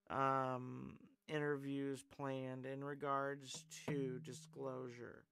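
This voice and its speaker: A man's voice: mid-range voice, fairly bright tone, clean tone, no echo. A man hosting a live call-in stream, chatting loosely, rambling with lots of fillers and reading viewers' comments aloud. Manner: rambling, filler-heavy, reads chat aloud. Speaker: call-in show host. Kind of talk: casual live-stream chat.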